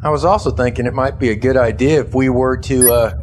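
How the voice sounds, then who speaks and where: A man's voice: mid-range voice, fairly even intonation, brisk, a little mellow, a little hiss or hum, natural voice. An actor playing a dutiful, earnest army sergeant in a science fiction audio drama, respectful in his address. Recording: music behind the voice.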